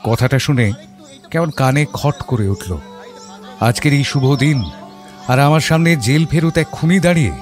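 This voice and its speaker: An actor playing a reflective father who narrates his memories in a measured, literary and expressive audio story style. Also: medium pitch, close to an everyday voice. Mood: uneasy. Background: background music.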